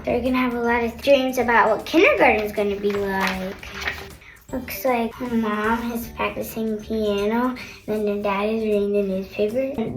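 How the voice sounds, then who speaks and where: Young girl, high voice, unhurried storybook read-aloud, expressive sing-song delivery, roomy sound with faint music. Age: child, older sister of a kindergartner. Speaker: storybook reader.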